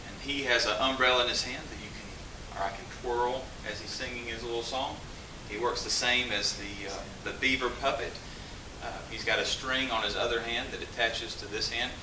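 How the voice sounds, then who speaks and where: A man working as a puppeteer, informally presenting and explaining to an audience. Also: medium pitch. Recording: roomy, distant mic with hiss and noise.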